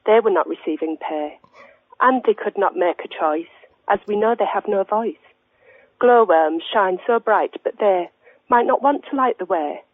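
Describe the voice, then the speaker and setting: Solemn, sincere dinner lady with poetic pretensions played by an actress, mid-pitched, on a thin dry telephone line. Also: normal speed, no echo, lightly acted. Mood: solemn, declaiming. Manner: solemn, declamatory rhyming recitation. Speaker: phone-in caller. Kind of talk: spoof radio phone-in acting.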